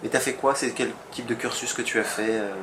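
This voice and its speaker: Fast-talking male interviewer. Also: mid-range voice, fairly even intonation. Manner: quick, chatty, many short acknowledgements. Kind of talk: casual interview questions. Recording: noisy background.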